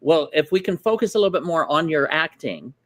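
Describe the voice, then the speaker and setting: A man from Indiana co-hosting a live talk show in a restaurant, asking his guest halting questions full of ums. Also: fairly high pitch, lively intonation, a bit bright, no room sound, clean studio sound. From Indiana, USA. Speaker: co-host.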